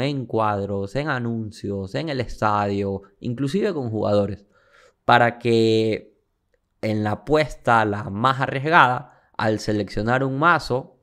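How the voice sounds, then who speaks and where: Clear, smooth male co-host. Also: mid-range voice, fairly bright tone. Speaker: podcast co-host. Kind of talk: casual storytelling commentary.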